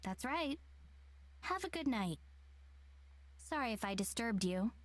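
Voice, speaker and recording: Polite, apologetic young game heroine. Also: female voice, on the high side, animated, a little slow, no echo. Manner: polite, considerate. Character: apologetic, polite young woman in a visual novel. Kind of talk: voice-acted game dialogue.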